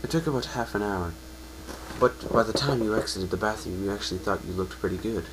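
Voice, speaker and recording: Low-voiced man narrating a story, faint hum. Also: brisk. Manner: reads with stumbles and self-corrections. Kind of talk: second-person story narration, dramatic reading. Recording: home recording with faint hum.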